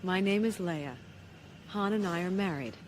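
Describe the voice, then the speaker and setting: An actress playing a feisty, sceptical princess with a spirited, dry manner in an audio drama. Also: female voice, fairly low pitch, moderate pace, slight background noise, natural voice, poor audio. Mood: curious, dry. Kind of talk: space-opera audio drama acting.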